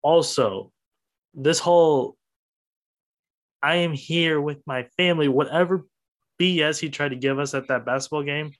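A man's voice: on the high side, unhurried, pauses often. A man with an Upper Midwest accent, ranting bluntly on a casual sports podcast. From Wisconsin, US Midwest. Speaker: podcast co-host.

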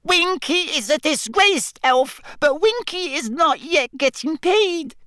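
Man voicing a squeaky, distraught little female house elf. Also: lively intonation, takes it slowly, sharp tone, no echo, clean studio sound, heavily acted. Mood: indignant, hurt. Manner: broken grammar, wailing protests.

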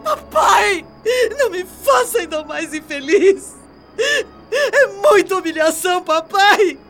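A humiliated young daughter in a radio soap. Her voice is extremely high, slow and tearful, pleading over faint music. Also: sing-song, fairly bright tone, heavily acted. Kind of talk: radio soap opera acting.

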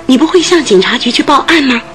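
A woman's voice: high voice, lively intonation, fast, fairly bright tone, soft background music. An actress in a radio drama playing a nervous, talkative landlady who tells things in earnest detail. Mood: concerned.

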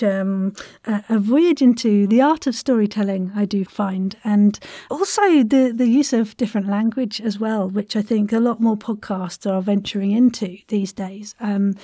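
A woman's voice: mid-range voice, dark tone, dry recording, clean studio sound. A woman hosting a casual interview in an effusive, gushing manner. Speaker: podcast host, interviewer.

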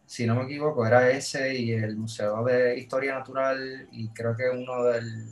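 A man from Puerto Rico with a Puerto Rican accent, a guest in a casual chat who talks hesitantly and pauses to find his words. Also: medium pitch, flat delivery, a little hiss or hum. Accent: Puerto Rican Spanish. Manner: hesitant, many fillers and restarts. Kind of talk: casual conversation. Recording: roomy echo.

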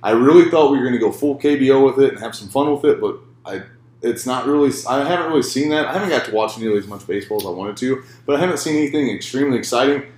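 Man, podcast co-host, mid-pitch, jokey and laughing, recorded in a roomy space. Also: racing, a little mellow. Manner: laughs often, jokey asides. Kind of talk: casual sports chat.

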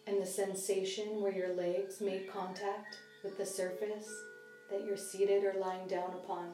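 A woman leading a guided body scan meditation as a mindfulness teacher, speaking gently and leaving long, unhurried pauses. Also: medium pitch, little pitch movement. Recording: distant, roomy sound with soft music.

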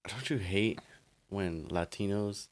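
A young man with a fairly low voice chatting as a podcast co-host. He banters loosely, laughs easily and states his opinions plainly. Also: fairly even intonation, takes it slowly. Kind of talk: casual chat.

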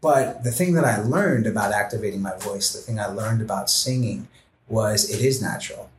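A man speaking as a podcast guest, earnest and gentle, talking in a reflective way with many fillers like "you know" and "like". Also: lowish voice, normal speed, reverberant, a little hiss or hum. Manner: gentle, heartfelt. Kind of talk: reflective interview conversation.

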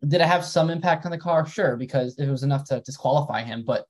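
A young man co-hosting a motorsports podcast in a relaxed, casual way, often using "um" and "like". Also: medium pitch, fast talker. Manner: relaxed, many fillers like "um" and "like". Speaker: podcast co-host and writer.

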